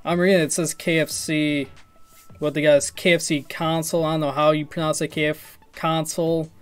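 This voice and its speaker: A man's voice: a little high, flat delivery, fairly quick. A man in his twenties making a casual prank phone call, hesitant and rambling as if confused. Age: 20s. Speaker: prank caller, YouTube video host. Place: small room at home, indoors.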